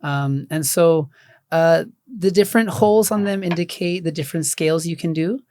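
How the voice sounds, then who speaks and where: A man with a slightly raspy voice, a guest sound healer talking casually on a podcast, relaxed and full of fillers and restarts. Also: a little high, normal speed, dry recording.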